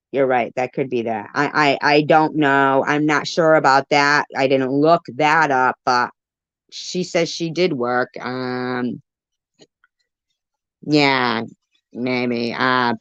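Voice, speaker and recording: Woman live streamer, slow and low, argumentative and sweary, close dry sound on a dull lo-fi stream. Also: clean tone, no echo. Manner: blunt, contradicting, repetitive, swearing. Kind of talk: off-the-cuff live stream commentary on played audio. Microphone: phone on a live stream.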